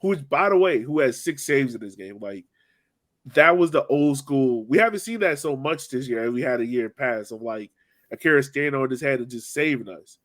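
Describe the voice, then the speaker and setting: A young man with a lively, swinging pitch chats about soccer as a podcast host. His delivery is casual, slangy and joking. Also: moderate pace. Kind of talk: casual sports chat.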